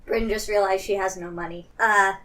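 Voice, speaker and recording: A woman's voice: mid-range voice, steady tone, normal speed, sharp tone. A woman playing a tabletop game, improvising casually.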